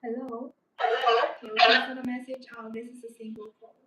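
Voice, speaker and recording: A woman's voice: a little high, little pitch movement, a little fast. A young woman, not a native English speaker, giving an unscripted, repetitive live sales demonstration of a product. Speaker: live-stream product presenter. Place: indoor showroom studio with desk and backdrop. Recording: roomy, distant mic, background noise.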